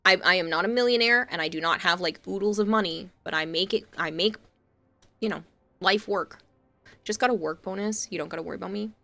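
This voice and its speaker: A woman's voice: lowish voice, fairly quick, creaky, pushed and bright. A young woman with a Boston accent talks to her live-stream chat in a chatty, reassuring way. She replies to viewers and thanks them as she goes. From Massachusetts.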